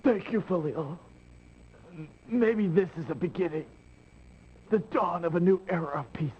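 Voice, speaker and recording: A man's voice: sits high, moderate pace, breathy edge. An actor playing a wounded, grateful man making peace in a video game cut-scene. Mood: weary, hopeful. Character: wounded man, grateful, reconciling with his former enemy. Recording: muffled lo-fi 1990s game audio, noisy.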